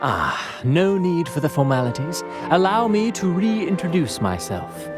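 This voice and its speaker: A man's voice: a little high, sing-song, measured pace. An actor playing a theatrical, grandiloquent playwright in a video game, with florid and courtly flourishes in his speech. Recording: music behind the voice. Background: clear background music.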